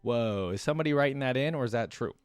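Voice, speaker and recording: A man's voice: mid-range voice, animated, fast talker, clear, resonant tone, no room sound. A man doing casual reaction commentary, reading lore aloud and adding informal, conversational asides. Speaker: reaction video creator.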